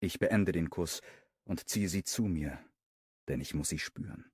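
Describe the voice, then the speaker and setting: A man narrating a first-person romance audiobook in a calm, measured reading voice. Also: deep voice, husky, dry recording, clean studio sound.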